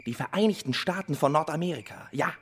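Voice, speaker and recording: An actor playing a young Indian prince in a radio play, educated and courteous, speaking with measured, proud eloquence. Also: male voice, fairly high pitch, slightly rough. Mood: thoughtful, courteous. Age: young adult. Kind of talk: German radio play acting.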